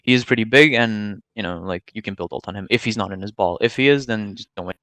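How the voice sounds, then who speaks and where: A man's voice: on the low side, fast talker, a bit bright, dry recording. A nineteen-year-old man from Serbia, chatting casually as an interviewed gamer, slangy and opinionated as he explains at length. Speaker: gamer and streamer being interviewed.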